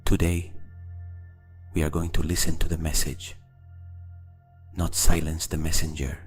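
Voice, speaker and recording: Very deep-voiced man guiding meditation. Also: little pitch movement, slightly rough, soft background music. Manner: slow, deliberate phrasing with long pauses. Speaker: guided meditation narrator. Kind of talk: scripted guided meditation narration.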